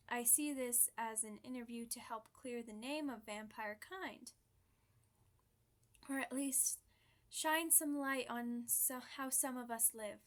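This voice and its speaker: A woman's voice: high-pitched, fairly bright tone. A woman voicing a chatty, playful, theatrical old vampire in an ASMR roleplay, full of mock-grand asides.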